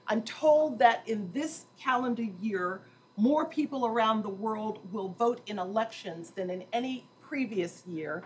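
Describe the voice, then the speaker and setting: A woman's voice: on the high side, measured pace, slight background noise, low-quality recording. A woman dean giving a formal, emphatic welcome to a lecture audience from a podium, with dry asides. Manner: emphatic, warm ceremonial delivery with dry asides.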